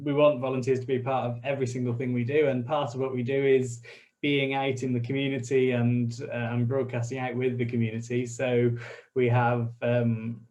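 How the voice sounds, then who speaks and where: Guy on a video call, pretty flat even delivery, keeps restarting his sentences, friendly. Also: mid-range voice, normal speed. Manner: friendly, hesitant with restarts, laughs lightly. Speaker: community radio station representative. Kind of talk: online interview, casual explaining.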